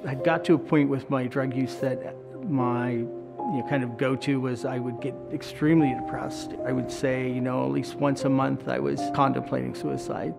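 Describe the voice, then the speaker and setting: A man's voice: medium pitch, moderate pace, gravelly. A man telling his story plainly in a documentary interview. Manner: flat, plain-spoken storytelling. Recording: interview with instrumental music.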